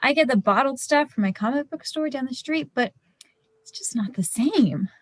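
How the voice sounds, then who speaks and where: High, lively young woman played by an actress. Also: normal speed. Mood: enthusiastic. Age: young adult. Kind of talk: stage play dialogue.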